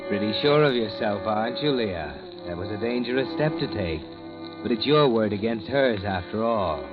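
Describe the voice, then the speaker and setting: A man's voice: fairly low pitch, animated, moderate pace, natural voice. An actor playing a sinister narrator who mockingly addresses a guilty woman in second person, in a 1940s radio drama. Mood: knowing. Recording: dull old radio recording with music.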